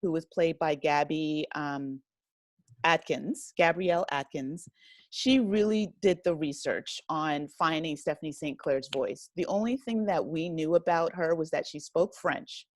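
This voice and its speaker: An American woman speaking casually as an interview guest, halting and often rephrasing, with plenty of "you know". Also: low-pitched, no echo. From United States, a rural town. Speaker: interview guest, writer and director of an audio drama.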